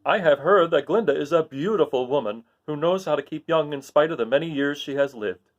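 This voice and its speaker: A man's voice: on the high side, brisk, lo-fi sound, pitched above the performer's own voice, close to an everyday voice. A man voicing a timid, cautious soldier in a children's storybook, giving information in a careful, emphatic way. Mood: informative. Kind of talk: children's storybook read aloud.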